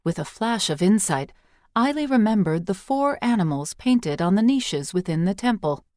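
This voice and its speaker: A woman reading scripted fiction as an audiobook narrator, with measured, expressive storytelling. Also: medium pitch, dry recording, studio quality.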